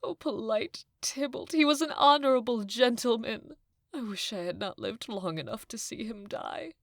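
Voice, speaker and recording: A woman's voice: on the high side, animated, moderate pace, creaky, dry recording. A woman playing an overwrought older nurse in an audio drama, lamenting breathily and repeating herself. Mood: mourning. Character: wailing, overwrought older female nurse, bitter servant. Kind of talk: audio drama acting of modernized Shakespeare.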